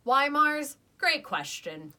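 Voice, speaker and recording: A woman's voice: high voice, sing-song, takes it slowly, bright, forceful tone. A young woman co-hosting a casual podcast review, chatty and enthusiastic, arguing playfully with her friend. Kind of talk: casual chat.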